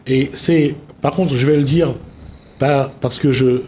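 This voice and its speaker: A man's voice: medium pitch, steady tone, measured pace, darkish tone, slight background noise. A man hosting a TV talk show, musing at length and searching for his words. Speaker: TV show host. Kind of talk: reflective studio talk. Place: TV studio.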